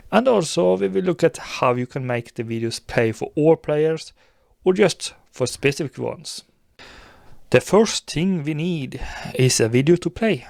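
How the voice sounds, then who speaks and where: A man presenting a step-by-step software tutorial in a gravelly voice. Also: medium pitch, dry recording, clean studio sound.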